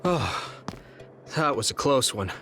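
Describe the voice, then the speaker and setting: An actor playing an earnest, gentle, idealistic young fighter in a video game, with a slightly hesitant manner. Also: male voice, mid-range voice, big pitch swings, slow, music in the background. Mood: relieved. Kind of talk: English video game voice acting.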